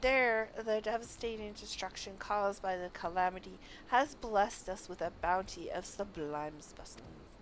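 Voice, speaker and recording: A flamboyant, self-important scholar played by a woman declaims video game quest lines. The delivery is pompous and theatrical, slow and deliberate, with clear noise behind it. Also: medium pitch, close to an everyday voice, low-quality recording. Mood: pompous, grandiose. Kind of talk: reading game quest dialogue aloud.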